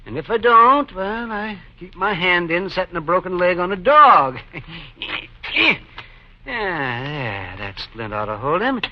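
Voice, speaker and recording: A sly, easygoing frontier doctor with a folksy way of needling people. His voice sits fairly high in a dull, slightly noisy old radio western. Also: very animated, full character voice. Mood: wry, teasing. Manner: folksy, drawn-out, chuckling asides. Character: wry, mercenary frontier doctor, easygoing and teasing. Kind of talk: 1950s radio western acting.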